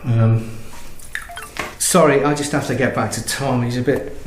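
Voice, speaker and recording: An actor playing a harried, distracted husband in a naturalistic radio drama, hesitant, apologetic and easily flustered. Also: male voice, medium pitch, steady tone, a little slow, echoey room, music in the background, natural voice, poor audio. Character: harried, distracted husband juggling work calls and house problems.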